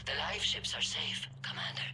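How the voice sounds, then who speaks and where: An actor playing a military officer reporting on a battle in clipped phrases, in a video game cutscene. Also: male voice, moderate pace, pushed and bright. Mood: alert. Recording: very noisy background ambience.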